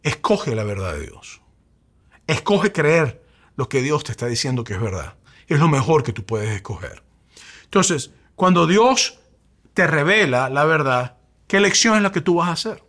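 A man's voice: a little high, sing-song, normal speed, a bit bright. A male pastor from Venezuela with a Venezuelan Spanish accent, preaching a sermon with rising emphasis and rhetorical questions.

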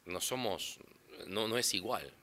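A man's voice: lowish voice, animated, measured pace, sharp tone. A man from Brazil, a native Portuguese speaker, talking casually in an interview about his work as an interpreter, rambling and restarting often.

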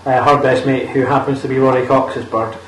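A man's voice: medium pitch, flat delivery, a little fast, fairly bright tone, a little hiss or hum. A young man co-hosting a podcast, bluntly and mockingly recapping a film's plot with plenty of swearing. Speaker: podcast co-host. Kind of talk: casual film review chat. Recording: roomy, distant mic.

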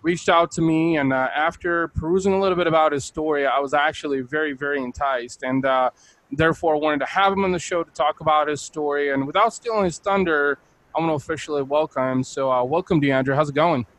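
A man hosting a podcast with a hoarse voice, blunt and crude-spoken, in casual chat. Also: fairly high pitch, fairly even intonation, a little fast, a bit bright, low-quality recording. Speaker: podcast host. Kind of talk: casual podcast intro with profanity.

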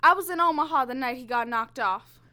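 A woman's voice: high-pitched, fairly quick, pushed and bright. A woman playing a jittery, tough-talking small-time crook in an old-time radio drama, brash and defiant at first, then frightened and pleading. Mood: scared, defiant.

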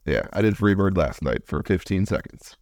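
A man hosting a podcast, a musician with a baritone voice, talking in a relaxed, frank way. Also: normal speed, dry recording, studio quality. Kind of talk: casual podcast conversation.

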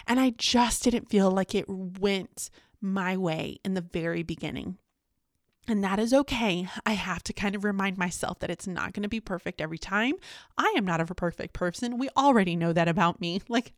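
A woman speaks casually to her vlog audience in a medium-pitched voice. Her manner is chatty and candid, readily self-deprecating, as if confiding in friends. The sound is dry, with very little room echo. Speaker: teacher and vlogger.

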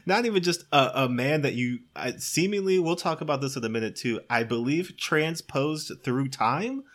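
A man's voice: on the high side, expressive, moderate pace, fairly bright tone. Man giving a wry, incredulous movie recap. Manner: wry, incredulous storytelling, often laughing. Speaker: podcast co-host recapping a film. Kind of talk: casual comedic movie recap.

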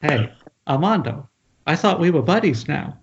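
A man's voice: fairly high pitch, sing-song, normal speed. A man playing a stubborn yet sheepish film director in an amateur table read over an internet call. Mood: insistent. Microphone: internet video call. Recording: dull, noisy internet call, clicks.

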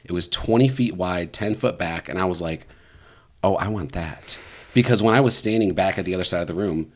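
A man in his thirties with a notably low, deep voice, hosting a podcast. He tells stories casually, wandering through details with plenty of "like" and "bro". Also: a little fast, slight background noise. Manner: rambling storyteller, lots of fillers and "bro". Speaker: podcast host. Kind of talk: casual conversation.

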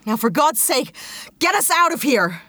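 A woman playing a no-nonsense space investigator, brisk, dry and impatient, in a sci-fi audio drama. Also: high-pitched, very animated, pushed and bright, full character voice. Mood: exasperated. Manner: brisk, sardonic, commanding.

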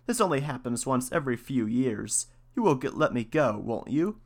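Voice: mid-range voice, big pitch swings, moderate pace. A person voicing a sly, greedy, selfish female cat with a cool, offhand manner in a storybook fairy tale. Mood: smooth, scheming.

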